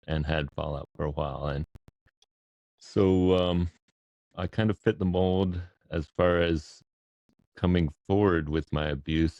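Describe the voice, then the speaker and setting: A man's voice: extremely low pitch, darkish tone, dry recording, lo-fi sound. A man speaking as a guest, telling a personal account to an online audience. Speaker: guest speaker, podcast co-host.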